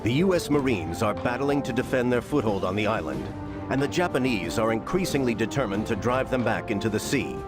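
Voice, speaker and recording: A man narrating a World War II game briefing in a formal, measured, emphatic manner. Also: mid-range voice, expressive, close to an everyday voice. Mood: grave, formal. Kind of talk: scripted wartime campaign narration. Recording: loud music over the voice.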